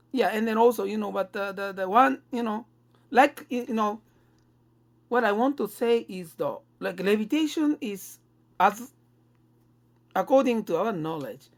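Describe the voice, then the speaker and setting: Gravelly-voiced woman, slow and halting. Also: medium pitch. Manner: halting, often seeking agreement with tag questions. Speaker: podcast co-host. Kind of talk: conversational discussion.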